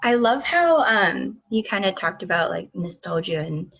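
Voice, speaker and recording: A woman's voice: lowish voice, big pitch swings, normal speed, creaky, a bit bright. A woman speaking thoughtfully and a little hesitantly as an artist on a discussion panel. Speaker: artist and exhibit lead on a panel. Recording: dull, muffled audio.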